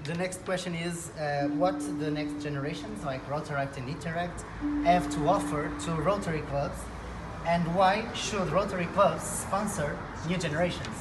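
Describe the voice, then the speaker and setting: A young man from Portugal conducts an interview, asking his questions in turn. The room rings with clear echo, and there is noticeable noise behind him. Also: a little high, moderate pace. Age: young adult. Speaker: interviewer, youth club representative.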